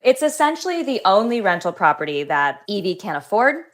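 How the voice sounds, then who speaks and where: A woman novelist talking in an interview, thoughtful, articulate and measured. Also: mid-range voice, sing-song, a bit bright. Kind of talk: book reading and conversational interview answers.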